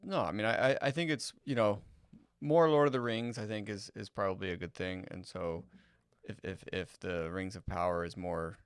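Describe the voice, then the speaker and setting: A man's voice: fairly low pitch, normal speed, clear, resonant tone, no echo, studio quality. A man co-hosting a podcast, speaking casually and hesitantly, often repeating words as he searches for them. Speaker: podcast co-host. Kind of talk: casual chat.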